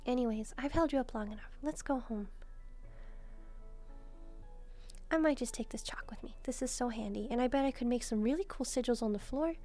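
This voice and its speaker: Woman as a mellow, gentle demon maid. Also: on the high side, quick pace, lightly acted. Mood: affectionate. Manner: gentle, musing, softly teasing. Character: gentle, devoted young female demon maid. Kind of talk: soft-spoken roleplay addressed to the listener. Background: background music.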